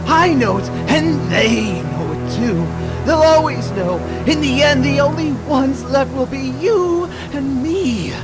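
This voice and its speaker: A man's voice: very high-pitched, expressive, slow pace, few pauses, over loud music, exaggerated voice. A man voicing a deranged, taunting clown supervillain in a radio drama. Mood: menacing, intimate. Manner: taunting, unhinged.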